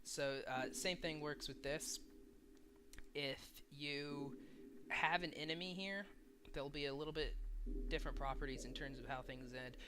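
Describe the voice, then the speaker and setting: A man's voice: on the high side, normal speed, noisy background. A man casually and informally walking through a video game level editor as a tutorial host. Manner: informal, profane. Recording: music behind the voice. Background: loud game music.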